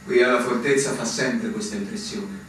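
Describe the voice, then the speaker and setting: A man's voice: mid-range voice, moderate pace, fairly bright tone, slight background noise, lightly acted. A male actor playing a brooding, fatalistic fortress officer in a poetic radio drama, ominous and declamatory in delivery. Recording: very echoey, distant stage recording under music.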